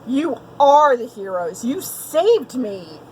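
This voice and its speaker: A woman voicing a silly, quick-witted male goose in a children's story, exclaiming as he spins a tall tale. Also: high voice, big pitch swings, darkish tone, a little hiss or hum, exaggerated voice, low-quality recording. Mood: relieved, excited.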